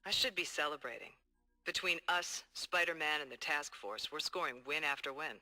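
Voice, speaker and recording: An actor playing a determined, hard-boiled police detective dictating earnest, streetwise case logs in a video game. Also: male voice, fairly high pitch, moderate pace, pushed and bright, faint music behind. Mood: wary, troubled. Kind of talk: video game audio log monologue.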